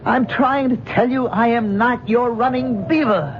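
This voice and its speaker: A man's voice: very high voice, measured pace. An actor playing a bewildered man in an old radio drama, protesting insistently in plain, agitated speech. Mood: pleading. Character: bewildered man trapped in Running Beaver's body, protesting. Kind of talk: 1970s radio drama acting. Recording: noisy, dull old radio broadcast recording.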